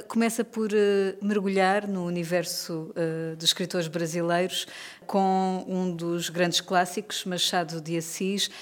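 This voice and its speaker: Low-voiced woman, radio host, composed interviewer on stage. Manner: composed, steering questions. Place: cultural centre stage before an audience.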